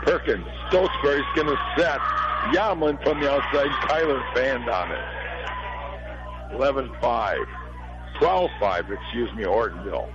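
A middle-aged American man with a hoarse, failing voice gives folksy live play-by-play commentary on a local volleyball game in short phrases. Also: medium pitch, unhurried, over music. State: hoarse, losing his voice from allergies. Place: high school gym. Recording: dull lo-fi radio broadcast sound.

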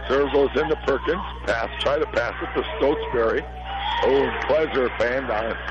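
A middle-aged American man with a hoarse, failing voice gives folksy live play-by-play commentary on a local volleyball game in short phrases. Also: medium pitch, normal speed, music in the background. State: hoarse, losing his voice from allergies. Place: high school gym. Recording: dull lo-fi radio broadcast sound.

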